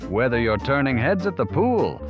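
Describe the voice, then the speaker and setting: A man's voice: mid-range voice, big pitch swings, moderate pace. A man announcing in a theatrical, scripted, showy style, like a presenter of a drama. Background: music.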